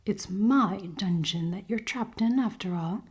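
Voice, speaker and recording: A woman playing a cold-hearted, commanding elven queen in a fantasy ASMR roleplay, soft and often whispering, with formal, teasing speech. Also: medium pitch, measured pace, husky. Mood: commanding, coaxing. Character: cold-hearted, dominant elven queen, haughty, secretly caring.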